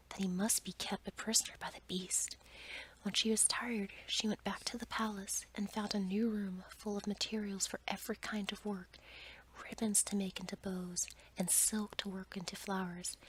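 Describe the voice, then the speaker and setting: A woman's voice: medium pitch, little pitch movement, a little hiss or hum, rough recording. Whispering woman reading a bedtime story. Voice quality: breathy whisper. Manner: soft, measured read-aloud delivery. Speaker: amateur ASMR storyteller.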